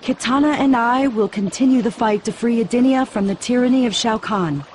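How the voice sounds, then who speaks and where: A woman's voice: fairly high pitch, brisk, darkish tone, a little hiss or hum, lightly acted. An actress playing a regal queen in a video game, gracious and commanding with formal, stately speech. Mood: solemn, commanding. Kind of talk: video game cutscene acting.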